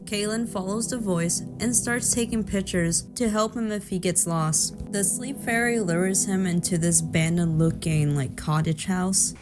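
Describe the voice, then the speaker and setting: Woman commentator over loud music. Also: mid-range voice, unhurried, hardly any pauses. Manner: explanatory, informal. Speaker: video commentator. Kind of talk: horror film breakdown commentary.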